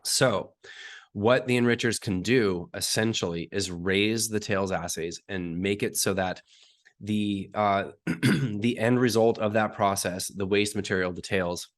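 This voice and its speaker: A man with a hoarse, raspy voice from being sick, explaining technical detail step by step as an interview guest and often clearing his throat. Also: fairly low pitch, steady tone, measured pace. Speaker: uranium market analyst guest.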